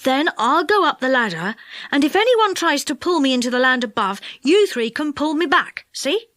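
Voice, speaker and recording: A woman's voice: sits high, very animated, a bit bright, no room sound, studio quality. A woman voicing an eager young boy in a storybook who gives the orders. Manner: brisk, commanding.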